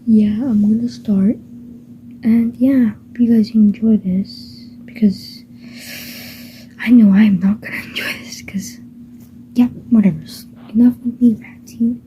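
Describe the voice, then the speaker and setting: A woman's voice: fairly high pitch, steady tone, measured pace, dark tone, a little hiss or hum. A woman chatting casually and nervously in a half-whisper, rambling with fillers before a homemade audio drama. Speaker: fan audio creator. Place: home. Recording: dull muffled home recording.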